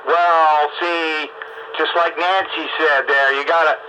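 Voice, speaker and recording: A man's voice: a little high, fairly even intonation, takes it slowly. A man in casual political discussion, emphatic and opinionated, repeating his points. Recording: lo-fi audio with faint noise.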